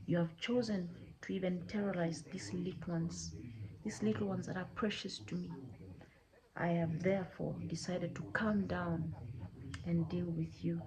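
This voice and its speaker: A woman storyteller voicing a stern god of the land who pronounces judgment slowly and solemnly. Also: fairly low pitch, steady tone, crowd noise, voice pushed down, close to an everyday voice, poor audio. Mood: stern, menacing. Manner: slow, deliberate, solemn pronouncements.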